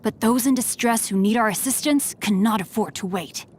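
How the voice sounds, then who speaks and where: A woman voicing a refined, courteous young male swordsman and scholar in a game, speaking politely and formally with a gently teasing edge. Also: on the high side, normal speed, husky. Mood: polite, composed. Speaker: game character voice lines. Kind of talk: scripted game voice lines.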